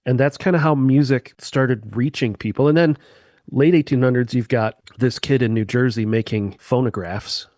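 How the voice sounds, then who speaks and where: A man's voice: mid-range voice, moderate pace, darkish tone, dry recording. A man, a musician guest on a chat podcast, explaining eagerly with sweeping comparisons into a close mic.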